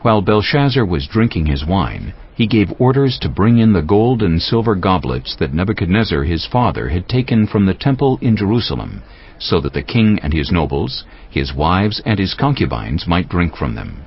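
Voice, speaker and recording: A man's voice: low-pitched, sing-song, moderate pace, breathy edge. A man narrating a dramatized scripture reading as a scripted storyteller. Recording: muffled, with faint music.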